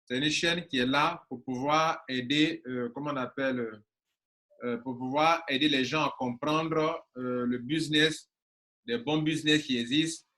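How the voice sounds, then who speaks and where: A man's voice: mid-range voice, steady tone, a little slow, sharp tone. A man in his forties, an online business coach talking casually to his viewers and stressing points by repeating phrases. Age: 40s.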